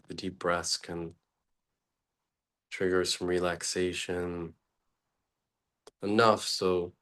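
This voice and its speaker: A man leading an unscripted guided meditation as a teacher, speaking haltingly in short phrases with long pauses between them. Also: low voice, fairly bright tone.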